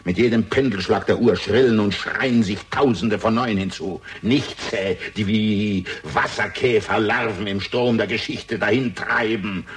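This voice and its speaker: A man's voice: lowish voice, measured pace, hardly any pauses, husky. An actor playing an arrogant, gloating older murderer and proud father in a theatrical German radio crime drama, sneering and grandiose. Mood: grandiose, fervent. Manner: theatrical, grandiose, sneering, swinging between murmur and outburst.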